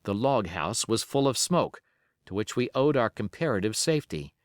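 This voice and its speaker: Guy reading an adventure audiobook, dramatic and expressive, really lively intonation, close dry sound. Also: on the low side, no room sound. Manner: expressive, measured storytelling. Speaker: audiobook narrator. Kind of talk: dramatic scripted narration of an adventure novel.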